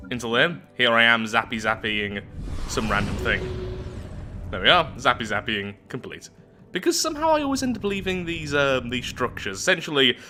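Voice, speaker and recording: A man hosting a gaming video, chattily giving casual roleplay playthrough commentary in an animated, self-deprecating way. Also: mid-range voice. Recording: music behind the voice. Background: background music.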